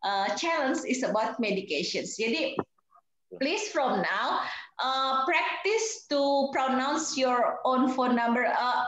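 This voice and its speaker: A woman's voice: on the high side, a bit bright. A woman from Indonesia in her thirties, teaching English vocabulary to nursing students in a chatty way, with English terms mixed into her explanations and quiz questions to the class. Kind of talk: online class lecture mixing two languages. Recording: very echoey, dull lo-fi sound.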